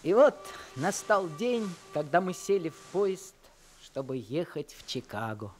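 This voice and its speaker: Earnest teen boy, lively pitch, radio play narrator. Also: a little slow. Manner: earnest, emotional. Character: teenage boy, emotional and grateful. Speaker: narrator and main character.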